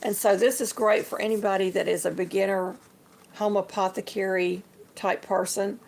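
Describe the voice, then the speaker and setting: Chatty woman with a gravelly voice. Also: medium pitch, fairly even intonation, moderate pace, a little mellow. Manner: chatty, homey, addresses viewers as y'all. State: slightly hoarse. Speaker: livestream host. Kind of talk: casual livestream show-and-tell.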